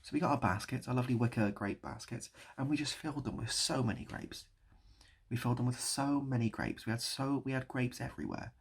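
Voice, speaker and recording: A British man improvising a rambling story and chatting as a video host, mock-nostalgic and often repeating his phrases, with a husky, gravelly voice. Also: mid-range voice, expressive, fairly quick. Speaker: online video creator and host.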